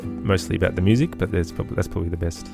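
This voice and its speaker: Australian male interviewee, low and creaky, reflective, feels his way through sentences, faint music behind. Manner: thoughtful, halting, searching for words. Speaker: guest, composer and children's podcaster.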